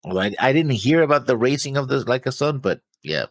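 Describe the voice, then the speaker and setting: A man's voice: mid-range voice, expressive, brisk, no echo. A man thinking aloud in a casual discussion, hesitant and full of theories. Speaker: anime reaction show co-host.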